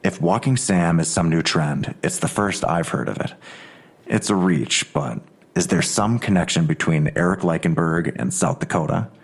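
An actor playing a terse, skeptical police detective in an audio drama, with a dry, deliberate, understated way of talking. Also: male voice, low-pitched, breathy edge. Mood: measured, wary. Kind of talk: full-cast audio drama dialogue.

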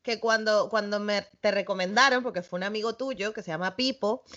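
A woman hosting a podcast interview, chatty and quick to affirm her guest. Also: mid-range voice, fairly even intonation, a bit bright. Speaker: podcast host. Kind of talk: conversational interview. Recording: dull lo-fi audio.

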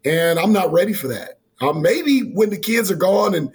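A man in his forties, a college basketball coach, talking earnestly in an interview with a voice that is going hoarse, often restarting his sentences. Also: sits high, animated, moderate pace, crowd noise. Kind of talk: interview answers.

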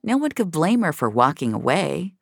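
A woman narrates scripted fiction in a very deep voice. Her pitch moves around in a lively way. The recording is dry and close, with little room sound. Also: moderate pace, gravelly, husky, dry recording.

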